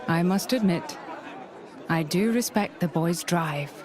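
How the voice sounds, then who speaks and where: A woman's voice: fairly low pitch, noisy background. A woman playing a composed, dry, formal imperial officer in a video game. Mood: cool, probing. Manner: formal, measured, cool. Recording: game music and crowd behind.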